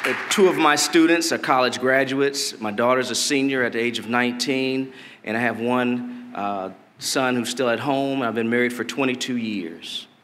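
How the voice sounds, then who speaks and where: Middle-aged man from Detroit, candidate at a convention podium, deliberate measured delivery, mid-pitched voice, long reverb from the hall. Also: fairly bright tone, a faint crowd, a little hiss or hum. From Detroit, Michigan. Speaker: political candidate. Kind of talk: campaign stump speech. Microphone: podium mic.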